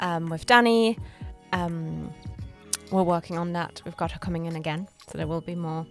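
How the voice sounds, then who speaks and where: A woman's voice: lowish voice, steady tone, normal speed, soft background music. A woman co-hosting a podcast as a producer, speaking in casual conversation about the work. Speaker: podcast co-host and executive producer.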